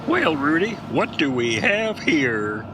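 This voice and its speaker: A man's voice: fairly high pitch, very animated, takes it slowly. A man playing a cocky, dim, macho American trucker and amateur detective in a comic audio drama, folksy and self-assured. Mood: world-weary, folksy. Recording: noisy vehicle and road ambience.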